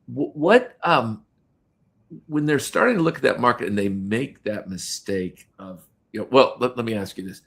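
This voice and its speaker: A man hosting a business podcast, introducing and questioning a guest in a halting way, with many fillers and restarts. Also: medium pitch, expressive, vocal fry, fairly bright tone. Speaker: podcast host. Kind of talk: casual interview chat.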